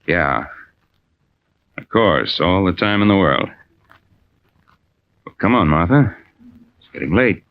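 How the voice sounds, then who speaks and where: Very deep, slow-talking gruff seaman. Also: expressive, exaggerated voice. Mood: weary, resigned. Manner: terse, halting replies. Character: gruff married older seafaring diving man, reticent, fatherly. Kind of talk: 1940s radio drama acting. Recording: muffled, noisy old radio recording.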